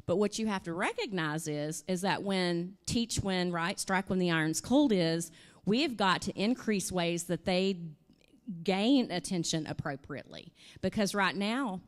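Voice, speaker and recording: A woman, presenting at a conference workshop in a hotel room and answering questions in an animated, conversational way through a handheld microphone. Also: lowish voice, unhurried. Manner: animated, conversational, uses "you know" and "I mean". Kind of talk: workshop talk with audience questions.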